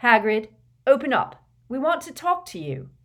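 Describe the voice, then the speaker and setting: A woman's voice: on the low side, unhurried, natural voice. A woman voicing a stubborn, plain-spoken teenage boy wizard in an audiobook, with a firm and direct manner. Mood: grim, determined.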